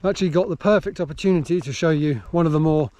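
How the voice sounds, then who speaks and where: A man's voice: a little high, rapid-fire, dark tone. An English man chatting casually and self-mockingly on a hike, filmed outdoors on a handheld camera. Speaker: vlogger. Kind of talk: casual vlog chat while hiking. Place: outdoors on a hill walk.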